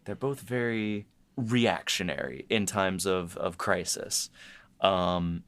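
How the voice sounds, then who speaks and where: A man with a voice a little on the low side chats as a podcast host and writer. His delivery is informal and jokey. He tends to quote his own thoughts as he explains things. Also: slow, fairly bright tone, clear, resonant tone. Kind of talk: casual behind-the-scenes chat.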